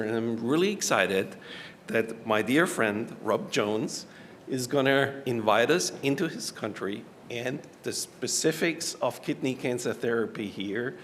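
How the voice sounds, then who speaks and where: A man hosting a conference, giving a formal but friendly introduction of a speaker from the stage. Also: mid-range voice. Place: large conference hall. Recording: echoey hall, faint background noise.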